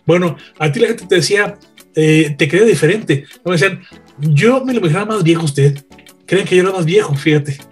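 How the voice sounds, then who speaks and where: Male radio veteran, raspy-edged higher voice, emphatic storytelling with repeated phrases. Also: fairly quick, faint music behind. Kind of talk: animated anecdote-telling in a panel chat.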